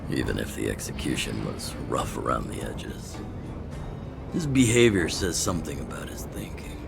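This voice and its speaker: A man playing a gruff, weathered, level-headed underworld chief in a video game, speaking frankly and in a measured way. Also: deep voice. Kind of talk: video game character acting. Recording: background music under the voice.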